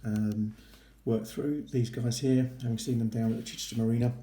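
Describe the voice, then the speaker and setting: A man's voice: medium pitch, fairly even intonation, normal speed, dark tone. A man giving a casual, informal, approving walkthrough as a vlogger. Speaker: vlogger documenting a boating course. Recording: roomy echo with faint noise.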